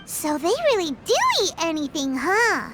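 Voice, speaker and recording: Squeaky, tiny, childlike fairy companion. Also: female voice, animated, moderate pace, background noise. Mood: curious. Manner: refers to self in third person, exclamatory. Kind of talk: English anime game dub acting.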